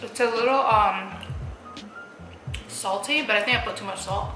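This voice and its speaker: A woman's voice: mid-range voice, moderate pace, roomy sound, music in the background, rough recording. A young woman talking casually to her viewers while eating, chatty, laughing often and using plenty of fillers. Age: young adult. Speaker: mukbang vlogger.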